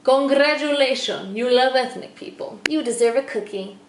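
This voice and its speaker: A young woman born in El Salvador and raised in Turkey, chatting casually to camera in a bubbly, talkative way, swinging between loud and soft. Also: high voice, moderate pace, over music. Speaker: vlogger. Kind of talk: casual vlog chat to camera. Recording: echoey room with faint noise.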